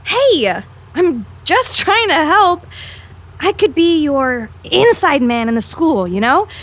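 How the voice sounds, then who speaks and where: Pushy teenage girl reporter, played by a woman, high pitch, animated, emphatic, sassy, radio drama. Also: slow pace, heavily acted. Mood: curious, eager. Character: Sally Greer, pushy, earnest teenage girl student journalist. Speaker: voice actor. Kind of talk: audio drama acting.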